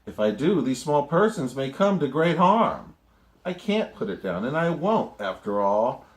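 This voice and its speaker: Man reading a kind worried elephant, earnest, pleading, slow, roomy echo. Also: mid-range voice, sing-song, voice pushed up.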